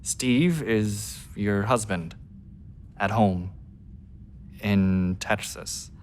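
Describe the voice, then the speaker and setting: A man playing a gentle, forgiving, lovelorn astronaut crewmate in a radio drama, halting and soft-spoken. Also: on the low side, a little hiss or hum. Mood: concerned. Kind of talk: naturalistic radio drama acting.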